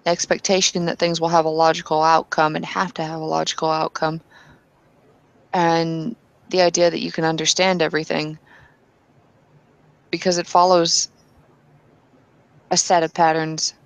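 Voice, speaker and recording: A woman's voice: deep voice, flat delivery, moderate pace, long pauses, dry recording. A woman playing a tabletop roleplaying game, speaking in character, earnestly and with some hesitant restarts.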